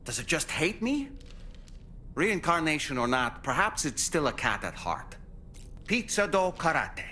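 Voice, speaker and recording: An actor playing an earnest, curious and sensitive companion who talks politely and inquisitively in a cinematic video game. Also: male voice, fairly high pitch, bright, forceful tone, a little hiss or hum, heavily acted. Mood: playful, eager.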